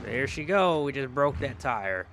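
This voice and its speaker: A man's voice: medium pitch, lively intonation, a little slow, noisy background. A man hosting a gaming video with casual, rambling commentary, often repeating himself for emphasis. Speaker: video game vlogger, host.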